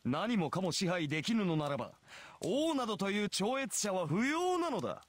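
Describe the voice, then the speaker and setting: A man's voice: high voice, sing-song, quick pace, no room sound. An actor voicing an arrogant, merciless heroic king in a visual novel, with a haughty, sneering and imperious manner. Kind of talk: Japanese visual novel voice acting.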